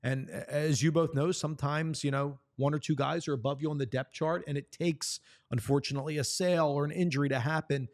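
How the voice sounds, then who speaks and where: A man's voice: fairly high pitch, steady tone, fairly quick, few pauses. A man who works as a play-by-play announcer, talking earnestly and supportively about players in a sports conversation.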